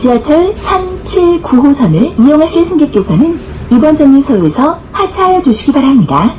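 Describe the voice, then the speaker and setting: A woman's voice: high voice, sing-song, moderate pace, lo-fi sound, a little hiss or hum. A woman reading scripted bus stop announcements in a formal, carefully enunciated way, recorded inside a bus. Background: bus interior ambience.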